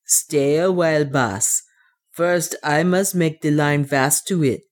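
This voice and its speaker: A woman's voice: low voice, takes it slowly. A woman voicing a superstitious, devoted male dwarf servant in a storybook, with archaic, deferential, self-pitying speech. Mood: cautious.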